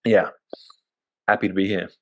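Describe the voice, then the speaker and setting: Panel guest, hoarse, extremely low and flat voice, dry sound. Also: male voice, normal speed, no echo. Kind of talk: casual self-introduction.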